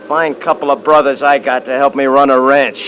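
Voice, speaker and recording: An actor playing a domineering, scornful eldest-brother rancher in a 1950s radio drama, with folksy Western speech. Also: male voice, mid-range voice, fairly even intonation, unhurried, a bit bright, highs cut off, lightly acted. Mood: irritated, scornful. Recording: hissy 1950s radio recording.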